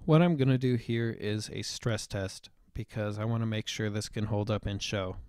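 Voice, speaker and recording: A young man explaining software setup step by step in a screen-recorded tutorial voice-over, with the odd "um". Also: lowish voice, steady tone, normal speed, smooth, dry recording, studio quality. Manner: explanatory, step-by-step, with occasional fillers. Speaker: tutorial presenter.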